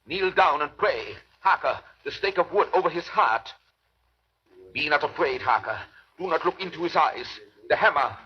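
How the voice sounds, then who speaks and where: A man's voice: fairly high pitch, expressive, normal speed, a little hiss or hum, lightly acted. Grave, theatrical older male vampire hunter acted by a man for a 1930s radio play, muffled vintage sound. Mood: urgent command. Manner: dramatic, urgent, swinging from hushed to forceful. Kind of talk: 1930s radio drama acting, first-person narration.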